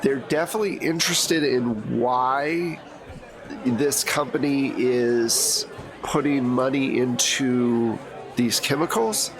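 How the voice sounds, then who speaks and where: A man at a mid-range pitch narrates a tabletop game as its game master. He lays out scenes in an unhurried, explanatory way, while a crowd chatters in the background.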